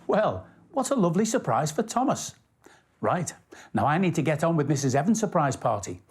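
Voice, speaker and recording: Animated male children's storyteller. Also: on the high side, normal speed, slightly rough, dry recording. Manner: expressive, addresses young viewers directly. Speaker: storyteller and presenter. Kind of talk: children's story reading and step-by-step craft narration. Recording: music behind the voice. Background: music.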